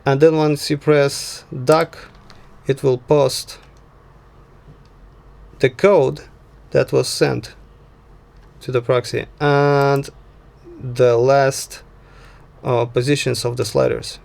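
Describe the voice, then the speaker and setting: Slow-talking man with very gravelly fry. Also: medium pitch, flat delivery, a little mellow. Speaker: tutorial instructor. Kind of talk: informal software tutorial narration.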